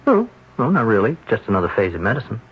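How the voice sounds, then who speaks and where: Patient doubting shrink in old radio drama, thoughtful pauses, voice moves around a lot, dull hissy old recording. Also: male voice, on the low side, huge pitch swings, breathy edge, full character voice. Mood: gently wry. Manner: hesitant, thoughtful, with hums and pauses. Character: measured, patient male hospital psychiatrist, gently skeptical. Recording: muffled, noisy old radio broadcast recording.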